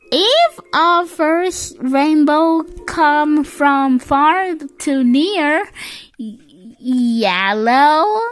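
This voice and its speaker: A reader with a childlike voice reads a children's picture book aloud haltingly, stumbling over and repeating words. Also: sits high, fairly bright tone. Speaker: storybook reader.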